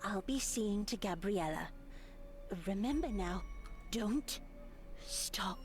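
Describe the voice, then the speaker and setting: A woman voicing a stern, controlling religious woman with measured, sweetly menacing commands in a visual novel. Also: medium pitch, animated. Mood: coolly commanding. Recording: loud music under the voice.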